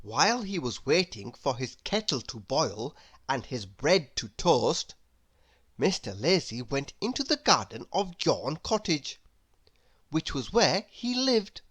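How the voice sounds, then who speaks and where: A woman reading a children's story aloud as an expressive, unhurried storyteller. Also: deep voice, husky. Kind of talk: children's read-aloud narration.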